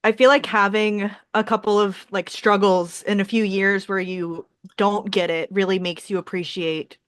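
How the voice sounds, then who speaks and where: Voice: mid-range voice, level tone, normal speed, a bit bright, clean tone, no room sound. Co-host voice, reflective encouraging tone, mid range, dry. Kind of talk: conversational reflection.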